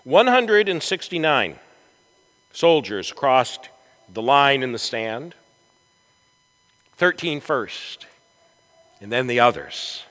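A man preaching a storytelling sermon in a church, with a dramatic, deliberate delivery and emphatic swells. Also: medium pitch, very animated, fairly bright tone. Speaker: pastor preaching. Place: large church sanctuary, from the chancel. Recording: echoey church hall with long reverb.

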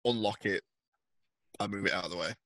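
Man, lowish bright voice, game master narration, dry close sound. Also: brisk, no room sound. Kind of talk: narrating a tabletop roleplaying game.

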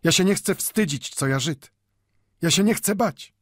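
A man's voice: high-pitched, measured pace, slightly rough, no echo, clean studio sound, pitched above the performer's own voice. A man voicing a proud old shopkeeper in an audiobook, firm and dignified, speaking broken dialect with hard emphasis. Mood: firm, defiant.

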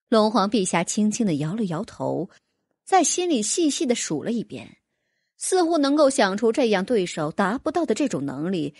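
A woman's voice: medium pitch, a little mellow, breathy edge, no room sound, clean studio sound. A woman narrating a fantasy audiobook, reading a scripted story in a dramatic storytelling style.

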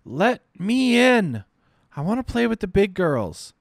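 A man doing a delirious dying girl, high and pleading. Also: very animated, slow, creaky, dry recording, clean studio sound, heavily acted. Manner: high, small, pleading child-like voice. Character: dying young woman, delirious, childlike and pleading.

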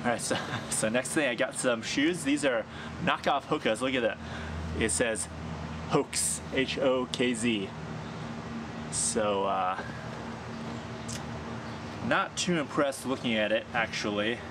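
Casual male vlogger in his thirties, mid-range voice, rambling gear review recorded outdoors with clear background noise. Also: medium pitch, slow pace, long pauses. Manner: chatty, thinking aloud with fillers and small laughs. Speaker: hiking vlogger. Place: sunny backyard, outdoors.

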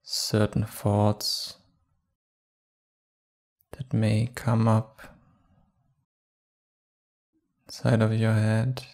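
A man guiding a meditation calmly and without hurry, leaving long pauses between short phrases. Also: fairly low pitch. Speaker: meditation guide. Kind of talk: guided meditation.

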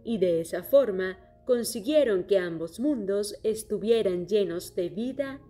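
A woman reading a children's story aloud in a clear, steady storytelling manner. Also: medium pitch, measured pace. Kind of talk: scripted children's story narration. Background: soft music.

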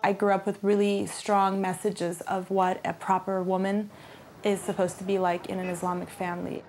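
A woman's voice: mid-range voice, level tone, moderate pace, a little mellow, a little hiss or hum. A woman from Iran speaking reflectively in an interview.

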